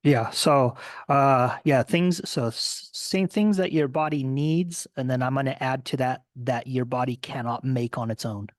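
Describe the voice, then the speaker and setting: A man's voice: medium pitch, unhurried, dry recording, clean studio sound. A man hosting a casual nutrition podcast as a coach, upbeat and explaining with many fillers.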